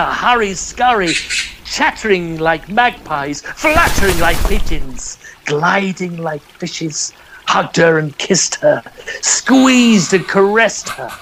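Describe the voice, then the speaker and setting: Guy reading an old poem dramatically, takes it slow, voice a bit on the high side, theatrical storyteller. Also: noisy background. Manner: theatrical, measured delivery. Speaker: narrator.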